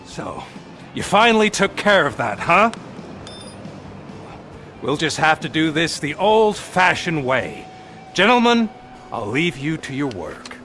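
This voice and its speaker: A man's voice: on the high side, huge pitch swings, slow, long pauses, soft background music, heavily acted. A male actor playing an arrogant, scheming, volatile villain in a video game cutscene, with a theatrical, taunting delivery. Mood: smug menace. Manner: theatrical, taunting, swinging from smug to shouting.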